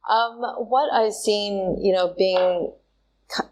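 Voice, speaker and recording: A woman from Singapore, a trauma specialist, answering interview questions in a thoughtful, halting way with many pauses and fillers. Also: mid-range voice, steady tone, a little mellow, slight background noise, rough recording. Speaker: guest, somatic trauma specialist.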